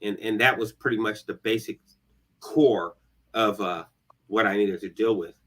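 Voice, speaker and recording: A man's voice: lowish voice, a little slow, a bit bright. A man in his forties chatting informally and emphatically as an interview guest. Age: 40s. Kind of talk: casual personal chat.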